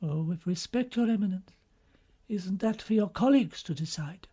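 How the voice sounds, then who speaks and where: A man voicing a gentle, devout cardinal who speaks in a polite, formal, soft-spoken manner. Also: high-pitched, moderate pace, breathy edge, voice pushed up. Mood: respectful.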